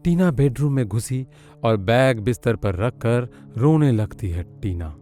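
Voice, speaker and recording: A man's voice: mid-range voice, animated, a little slow, dark tone, faint music behind. A man narrating a children's cartoon story in a scripted storytelling style.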